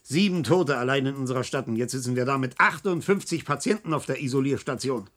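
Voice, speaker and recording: An actor playing an irascible, domineering professor and chief physician in a German radio drama, blustering, sarcastic and impatient. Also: male voice, medium pitch, fast, a bit bright, close to an everyday voice. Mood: brisk, worried.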